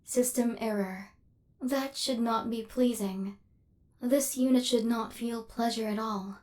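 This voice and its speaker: A woman's voice: on the high side, steady tone, takes it slowly, darkish tone, slight background noise. A woman voicing a female robot assassin in an ASMR roleplay, cold and relentless, with clipped, formal, mechanical delivery. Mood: flustered, stern.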